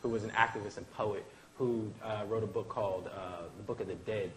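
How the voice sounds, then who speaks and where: A man's voice: lowish voice, fairly even intonation, fairly quick, poor audio. A man speaking informally as a professor at a live event, circling back on his thoughts and checking in with "right?". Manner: discursive, self-interrupting, often adds "right?". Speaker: professor, poetry teacher. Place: indoor event room. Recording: faint room noise behind.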